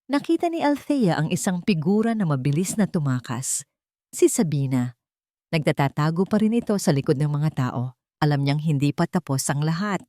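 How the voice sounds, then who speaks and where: Low-voiced woman narrating dramatic fiction. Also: mellow, dry recording, studio quality. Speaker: storyteller. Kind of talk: scripted dramatic narration of a love story.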